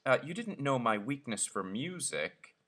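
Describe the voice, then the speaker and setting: A man's voice: mid-range voice, big pitch swings, a little slow, sharp tone. A man voicing an eager, vain, ingratiating headmaster in an effusive, pressing way for a storybook reading.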